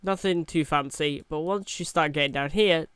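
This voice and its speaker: Young guy with a bit of a cold, rambling gameplay chat, pitched a bit high, smooth clear voice. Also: moderate pace, fairly bright tone, dry recording. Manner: rambling, chatty, with many fillers and restarts. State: has a cold. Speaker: gaming YouTuber. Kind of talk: casual gameplay commentary.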